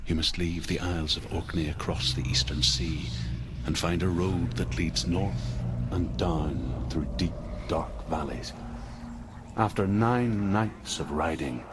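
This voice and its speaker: A grave male teller of Norse myths guides a dark video game. His extremely deep voice moves slowly and solemnly, full of foreboding, over clearly audible ambient noise. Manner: slow, grave.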